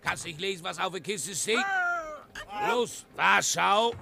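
An actor playing a gruff, bossy dockworker who barks rough, impatient orders at his crew in a radio play. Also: sits high, slow, exaggerated voice.

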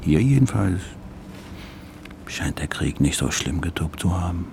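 Radio drama: sober traveller taking stock, low-pitched, measured. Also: male voice, big pitch swings, gravelly, background noise, exaggerated voice. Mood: sober, surprised. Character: sober male visitor taking stock, mildly disappointed. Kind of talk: measured radio-play monologue.

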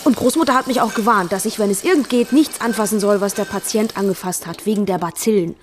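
A woman's voice: mid-range voice, fast talker, a little hiss or hum, natural voice. An actress playing a bookish, inquisitive girl, narrating and speaking in a radio drama. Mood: observant. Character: bookish, inquisitive girl recalling events as they happen.

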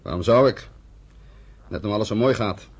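An actor playing a fiery, bitter underground fighter leader in a radio play, declamatory and defiant. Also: male voice, fairly low pitch, normal speed, husky, lo-fi sound. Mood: defiant, fervent. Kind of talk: Dutch radio play acting.

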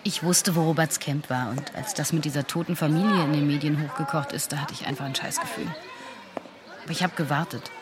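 An actress plays a troubled former teacher, a woman who is direct, probing and quick to defend herself. It is natural radio play dialogue, with clear crowd and city sound around her. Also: deep voice, lightly acted. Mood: troubled, confessional. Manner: direct, questioning. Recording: busy urban outdoor crowd behind.

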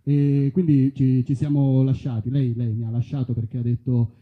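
A man doing a live stand-up monologue at a middling pitch. He tells stories with a deadpan, halting delivery and repeats phrases. The recording is narrow and muffled, almost like a phone line. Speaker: stand-up comedian. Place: festival stage outdoors among olive trees.

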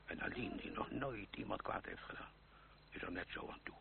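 Flustered old uncle, very low creaky voice, swooping intonation, hesitant stammer, old noisy radio play. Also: male voice, normal speed. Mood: plaintive. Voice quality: gravelly. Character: bewildered, sighing old uncle, ruined gentleman fleeing revolution. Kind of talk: radio drama acting. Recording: noisy, humming, tinny old radio recording.

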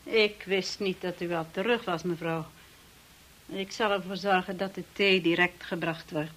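An actress playing a stern, formal housekeeper of a grand manor in a radio drama, measured and coolly deferential. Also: female voice, lowish voice, steady tone, a bit bright, a little hiss or hum, natural voice.

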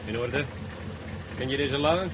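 Man playing a curt checkpoint cop, engine rumbling. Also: a little slow, lightly acted. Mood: stern, official. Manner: curt, commanding. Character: stern, officious roadblock policeman. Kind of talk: 1970s radio drama acting. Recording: muffled, noisy old radio recording, engine rumble. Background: idling vehicle engine.

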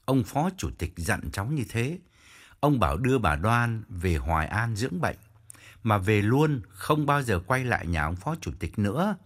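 A man voicing an earnest, respectful male driver in his own husky voice. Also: on the low side, very animated, moderate pace.

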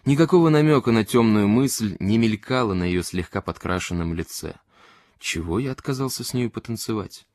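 A man's voice: fairly low pitch, normal speed, darkish tone, no echo. A man narrating a literary short story expressively, as an audiobook reader.

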